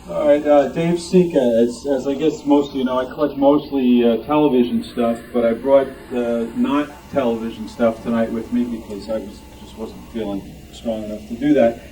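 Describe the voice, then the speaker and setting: A man giving an informal show-and-tell talk as a club member, with a halting, rambling delivery. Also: medium pitch, fairly even intonation, warm tone, recorded from a distance. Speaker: club member presenting vintage items. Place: club meeting room. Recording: noisy room, picked up from afar.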